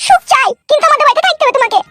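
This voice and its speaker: Tiny squeaky cartoon wife. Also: female voice, animated, rapid-fire. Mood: scolding. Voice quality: squeaky, childlike. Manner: bossy, curt, insistent. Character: stubborn, scolding young wife, squeaky and defiant. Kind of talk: amateur comedy cartoon dubbing. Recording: loud music under the voice.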